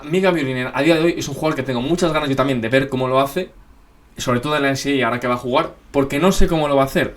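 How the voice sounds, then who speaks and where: A young man from Spain with a Madrid accent, hosting a casual question-and-answer video and talking in a rambling way, full of filler tags and self-corrections. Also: medium pitch. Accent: Madrid Spanish. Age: young adult. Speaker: basketball channel host. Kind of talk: casual Q&A monologue.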